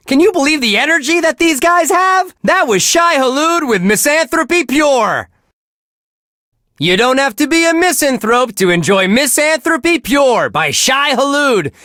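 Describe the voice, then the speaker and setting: Bright, chirpy, wholesome radio DJ. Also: male voice, extremely high pitch, no echo, studio quality, exaggerated voice. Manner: chirpy, earnest, gee-whiz exclamations. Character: relentlessly cheerful, wholesome male radio DJ on a heavy-metal station. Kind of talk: upbeat radio DJ patter, scripted.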